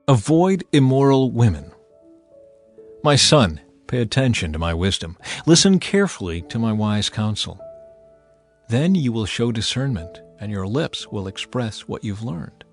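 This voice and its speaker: A man's voice: mid-range voice, very animated, unhurried. A man giving a dramatized reading of scripture as a narrator, theatrical and emphatic, in the manner of someone urging a listener. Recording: music bed under the voice. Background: music.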